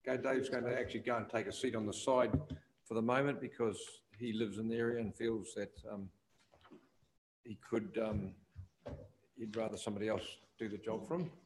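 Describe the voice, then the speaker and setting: A man with a New Zealand accent chairing a council committee meeting, brisk and procedural. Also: lowish voice, fairly even intonation, a little hiss or hum, low-quality recording.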